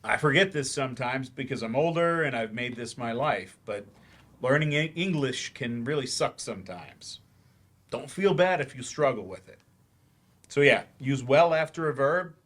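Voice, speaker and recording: A man playing an older remedial English teacher in a staged play reading, gentle, reassuring and gently self-deprecating as he explains things. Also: on the high side, moderate pace, close to an everyday voice. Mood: encouraging.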